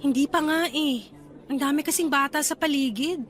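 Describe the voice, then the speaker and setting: An actress playing a protective, feisty young aunt with a Filipino accent in a radio drama, expressive in delivery. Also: female voice, high voice, moderate pace, faint music behind, close to an everyday voice. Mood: chatty. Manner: expressive, talks back.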